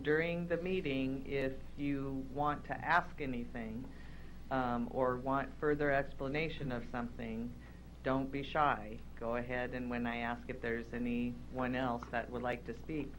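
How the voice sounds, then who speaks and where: A man presiding over a public county board meeting, relaxed and informal, with many fillers. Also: mid-range voice, unhurried, a little hiss or hum, poor audio. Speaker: meeting chair. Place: county legislative chambers.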